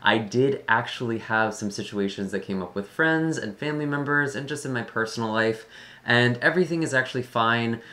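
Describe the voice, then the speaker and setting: Casual tarot reader and online host, medium-pitched voice, a little scratchy and tired. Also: a little fast, sharp tone. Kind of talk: casual talk introducing a general tarot reading.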